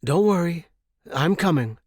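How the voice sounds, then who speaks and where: A man voicing a flustered, earnest, kindly gentleman explorer in a storybook, exclaiming with old-fashioned politeness. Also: fairly high pitch, expressive, unhurried, no room sound, clean studio sound, pitched above the performer's own voice. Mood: reassuring. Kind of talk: storybook character dialogue.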